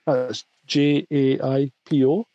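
Wry man with mellow voice, dull line. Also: medium pitch, fairly even intonation, slow pace, no room sound. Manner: dry, wry humour, laughs often. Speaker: podcast co-host. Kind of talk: casual live-stream chat.

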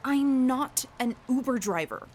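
A woman's voice: high-pitched, animated, measured pace, a little mellow, a little hiss or hum. An actress playing a startled, irritated woman driver in an audio drama. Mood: indignant.

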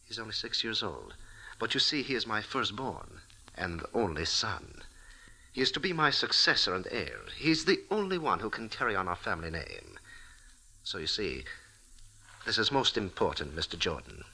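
A nervous Italian count from a 1940s radio drama, formal and hesitant in his speech. His voice is husky and sharply forceful. He phrases everything with polite care, swinging widely in pitch. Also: sing-song, normal speed, full character voice, poor audio. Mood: earnest pleading. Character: nervous, courtly Italian count, estranged husband and father.

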